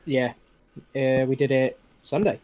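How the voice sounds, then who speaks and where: A man's voice: mid-range voice, steady tone, unhurried, no room sound, dull audio. A man in a game stream's voice chat, chatting in a loose, rambling way. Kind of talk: casual voice-chat banter.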